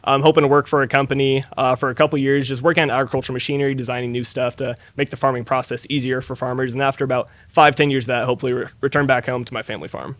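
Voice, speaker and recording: A man's voice: medium pitch, flat delivery, very fast, few pauses, fairly bright tone, no echo. A man in his early twenties from Eastern Washington, a student answering interview questions in an earnest, down-to-earth way. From Eastern Washington, USA. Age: early 20s. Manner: earnest, down-to-earth, uses fillers like "uh" and "you know". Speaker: student guest.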